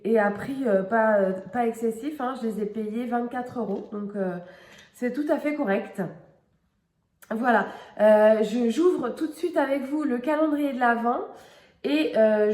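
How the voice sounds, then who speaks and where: A woman's voice: fairly high pitch, measured pace, a little mellow. A middle-aged woman with a cold, chatting casually through a home vlog with many hesitations. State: has a cold. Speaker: vlogger. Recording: echoey room.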